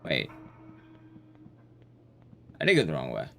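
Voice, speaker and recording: Man streaming games, very lively pitch swings. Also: slow pace, creaky, soft background music. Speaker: video game livestreamer. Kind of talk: casual gameplay commentary, chatting with viewers.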